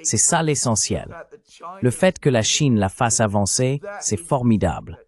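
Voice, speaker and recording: A man's voice: medium pitch, big pitch swings, a little slow. A man's AI-generated voice reading a dubbed commentary in an emphatic, argumentative way.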